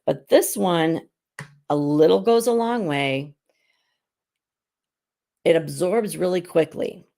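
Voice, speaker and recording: A middle-aged woman who is hoarse while getting over an illness, chatting casually as she hosts a live skincare demonstration at home. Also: lowish voice, slow, warm tone. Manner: chatty and rambling, with frequent restarts. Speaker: beauty consultant and show host.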